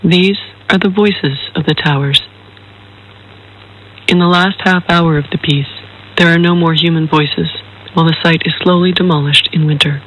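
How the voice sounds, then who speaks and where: A high-pitched man with a husky edge delivers a scripted introduction. The phrasing is measured, formal and careful, as an artist presenting their own work. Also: steady tone, a bit bright, low-quality recording. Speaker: filmmaker introducing their own film and radio piece.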